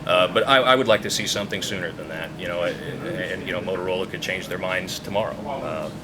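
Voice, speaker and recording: Male official, low register, hesitant and measured in interview, recorded with clear background noise. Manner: hesitant, halting, measured explaining. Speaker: public safety radio official being interviewed. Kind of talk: unscripted interview answers.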